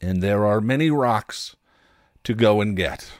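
A man hosting a podcast with a candid personal monologue, reflective and halting, with wry, self-deprecating delivery. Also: on the low side, no echo, studio quality. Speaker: podcast host.

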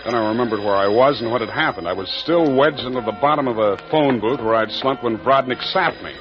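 An actor playing a wry, hard-boiled private detective, wisecracking in 1940s radio noir style. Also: male voice, medium pitch, fairly quick, lightly acted. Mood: wry, narrating. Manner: deadpan, wisecracking. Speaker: narrator and lead. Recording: dull old radio recording, music bed.

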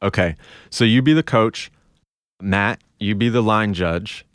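A man's voice: lowish voice, measured pace, no room sound. A man hosting a casual podcast chat, organizing his co-hosts in a chatty way. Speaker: podcast host.

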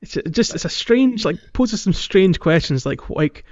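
A man co-hosting a podcast, chatting casually about a video game in a rambling, halting, jokey way. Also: sits high, expressive, darkish tone. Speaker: podcast co-host. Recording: dull lo-fi audio, roomy echo.

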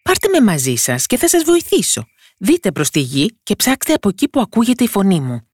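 An adult narrator playing a tiny storybook boy with a very high, swooping voice. He is bold and cheeky, calling out as if he wants the whole house to hear. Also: wildly swooping pitch, dry recording, studio quality. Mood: eager, confident. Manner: bold, mocking, calling out loudly. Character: tiny cheeky boy, thumb-sized fairy-tale hero. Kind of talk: storybook character voice.

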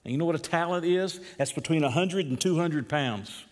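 A middle-aged man with a Georgia accent preaching an expository sermon, rising to emphatic peaks and dropping low and slow when he reads scripture. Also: long reverb tail. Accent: Southern US (Georgia).

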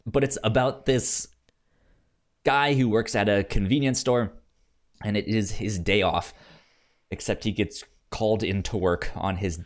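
A man co-hosting a podcast in casual film chat, halting and hesitant, with a stutter that repeats sounds and words. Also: lowish voice, steady tone. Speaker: podcast co-host.

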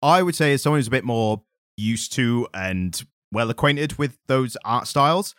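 A young man with a husky voice, co-hosting a casual podcast chat, rambling and correcting himself as he goes. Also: medium pitch, lively intonation, moderate pace, a bit bright, no room sound, studio quality. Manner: rambling, self-correcting enthusiast. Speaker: podcast co-host.

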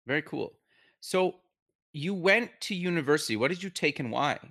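A man's voice: fairly high pitch, lively intonation, normal speed, a bit bright. A man hosting an interview, casual and friendly, often answering with short stock replies. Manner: friendly, repeats short affirmations. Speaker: podcast host.

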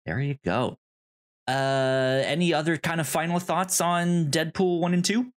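Hesitant man chatting casually on a podcast. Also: a little high. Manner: hesitant, halting delivery. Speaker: podcast co-host. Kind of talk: casual movie podcast chat.

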